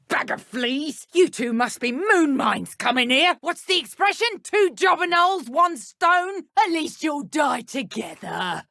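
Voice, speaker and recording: A woman with a British accent playing an arrogant, menacing dark witch poacher in a video game, with a theatrical, mocking manner. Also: sits high, no room sound, clean studio sound, exaggerated voice. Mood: taunting, scornful. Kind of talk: video game combat voice acting.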